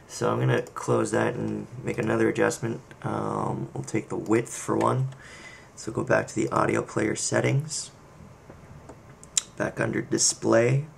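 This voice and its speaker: A man giving a casual, unscripted software walkthrough, thinking aloud with hesitant pauses. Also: lowish voice, vocal fry. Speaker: software tutorial presenter. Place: indoor screen-recording voice-over. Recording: faint background noise.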